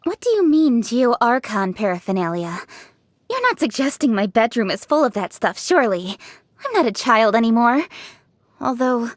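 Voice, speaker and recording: A brisk, self-assured young official. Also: female voice, on the high side, very animated, no room sound, clean studio sound. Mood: confident. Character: young woman official, driven, confident, self-reliant. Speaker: game character voice lines. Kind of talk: game voice-over.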